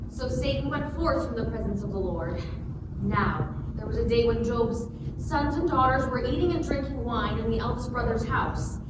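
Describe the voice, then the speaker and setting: High-voiced woman narrating, very echoey. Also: moderate pace. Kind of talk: dramatic scripture reading, scripted narration. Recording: very echoey, noisy, distant hall recording.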